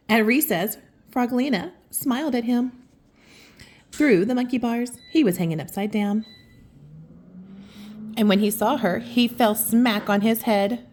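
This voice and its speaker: Expressive, higher-pitched woman reading to children. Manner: affectionate, gasps and exclaims in reaction to the story. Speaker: children's story reader and host. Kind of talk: expressive picture book read-aloud with asides.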